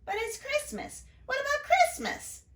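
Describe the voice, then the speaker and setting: Woman as a high, plaintive little boy. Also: animated, unhurried, fairly bright tone, full character voice. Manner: high-pitched, plaintive calling. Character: small, pleading little brother in a storybook. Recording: roomy, echoing room sound.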